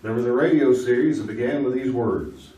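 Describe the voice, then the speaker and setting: An actor playing a goofy, wisecracking radio quiz show host who exclaims in mock disbelief. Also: male voice, medium pitch, expressive, brisk, mellow, muffled recording, close to an everyday voice. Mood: playful. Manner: joking, mock-incredulous, exclaiming. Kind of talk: old-time radio comedy quiz show. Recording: echoey hall, distant mic, audience noise.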